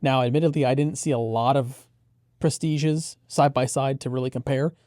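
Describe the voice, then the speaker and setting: A man's voice: mid-range voice, steady tone, moderate pace, a little mellow, no echo, studio quality. A man co-hosting a product preview, explaining features conversationally with easygoing, approving asides. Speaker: audio gear reviewer and co-host.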